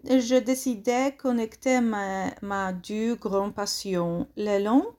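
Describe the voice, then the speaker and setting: A woman with a Polish accent, a guest answering interview questions in careful learner French, pausing often to find her words. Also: mid-range voice, fairly even intonation, dark tone. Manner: slow, halting, many hesitations and searched-for words. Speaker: guest, walking language course founder.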